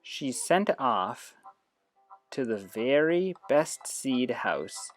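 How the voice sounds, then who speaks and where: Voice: medium pitch, sing-song, drawn-out words, faint music behind. An educator giving an informal, explanatory demonstration with read-aloud passages. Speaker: educator demonstrating reading apps. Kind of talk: instructional screen demo with read-aloud passages.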